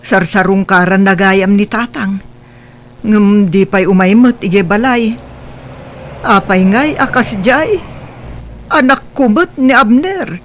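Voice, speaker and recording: A woman's voice: mid-range voice, takes it slowly, long pauses, a little hiss or hum, natural voice. A woman playing a middle-aged, remorseful mother in an audio drama, speaking plaintively and earnestly with an Ilocano accent. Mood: troubled. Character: remorseful mother pleading for her estranged son's forgiveness. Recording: music bed behind the voice.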